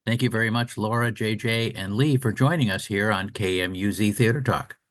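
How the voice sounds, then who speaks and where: A man's voice: on the low side, normal speed. A man hosting a radio show, interviewing guests and reading announcements.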